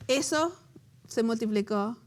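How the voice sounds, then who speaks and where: A woman from Latin America leading a conversational Bible teaching, animated and quick to laugh, turning emotional over a personal story. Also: fairly high pitch. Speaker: co-host of a Bible study show.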